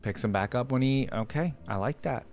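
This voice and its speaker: A man's voice: mid-range voice, fairly quick, a little mellow, faint music behind. A man giving casual, informal reaction commentary, rambling along as he talks straight to his viewers. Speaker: reaction video host.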